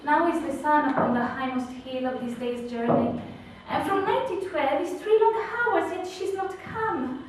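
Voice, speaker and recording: A woman's voice: high-pitched, normal speed, close to an everyday voice. A young woman acting an eager girl in love who impatiently begs for news, in an amateur stage performance. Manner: pleading, insistent, rising with impatience. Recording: echoey, distant, noisy room.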